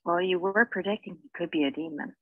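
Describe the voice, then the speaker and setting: A woman's voice: fairly low pitch, quick pace, no echo, phone-quality sound. A woman podcast panelist chatting casually about a TV show.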